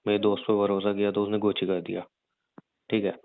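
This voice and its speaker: A man playing a rough young gangster husband in an audio drama, crude and teasing yet protective, talking in slang. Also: on the low side, little pitch movement, brisk, close to an everyday voice. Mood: scheming, explaining. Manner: slangy, crude, mild swearing. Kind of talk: audio roleplay drama, conversational. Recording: narrow phone-like dry sound.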